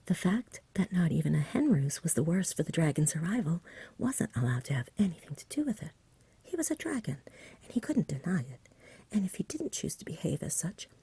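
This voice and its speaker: A woman softly reading a storybook in a hushed, breathy ASMR whisper with a gentle delivery. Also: low voice, no room sound. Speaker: reader, ASMR host.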